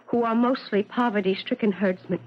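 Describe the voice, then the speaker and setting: An actress playing an earnest, determined professor in a 1970s radio drama, urgent and articulate. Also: female voice, mid-range voice, steady tone, fairly quick, natural voice. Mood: earnest recounting. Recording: muffled 1970s radio broadcast.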